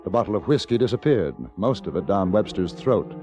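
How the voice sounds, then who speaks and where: Calm, observant western storyteller with an American accent. The voice is fairly low and very varied in pitch, with music behind it. It is styled as first-person radio drama. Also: male voice, a little fast, dull audio. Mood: measured, watchful.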